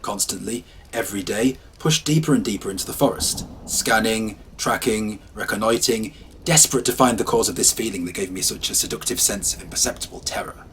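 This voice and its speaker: A low-pitched man reads first-person horror tales aloud. His telling swings from hushed and ominous to brisk and lively. A faint patter of rain sits behind him. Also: slight background noise, poor audio. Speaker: storyteller. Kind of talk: scripted horror narration.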